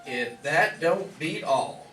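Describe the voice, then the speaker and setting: A man's voice: fairly high pitch, lively intonation, slow pace, fairly bright tone, slight background noise. A man playing a kindly, folksy older farmer with grown children in an old-time radio drama. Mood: amazed. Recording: roomy, distant mic, faint music behind.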